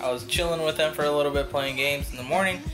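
A man's voice: a little high, fast. Young man, drowsy, talkative, rambling, casual vlog chat, music in background. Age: young adult. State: drowsy after dental surgery. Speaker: vlogger. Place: car, small house rooms and bedroom.

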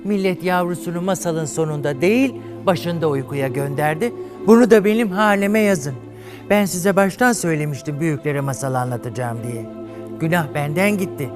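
A man's voice: fairly high pitch, normal speed. A man hosting a comedy show, telling a bawdy fairy tale in a campy, flamboyant way. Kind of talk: bawdy comic storytelling to a studio audience. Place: TV studio with live audience. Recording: studio audience laughter and music behind.

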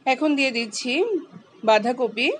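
A woman, calmly walking through a home cooking recipe step by step as the channel's host. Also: fairly high pitch, moderate pace, slight background noise. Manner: calm, instructive, explains each step.

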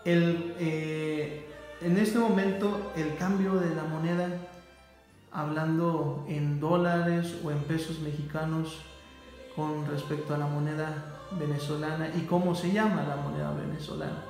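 Guy asking questions real slow, kinda higher voice, echoey room, faint music. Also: steady tone, darkish tone. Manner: slow, halting questions with fillers. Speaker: interviewer. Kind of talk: church interview.

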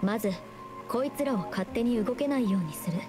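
An actress voicing a composed, analytical young female adventurer in an anime-style game, calm and matter-of-fact. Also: fairly high pitch, fairly quick, natural voice. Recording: game music behind.

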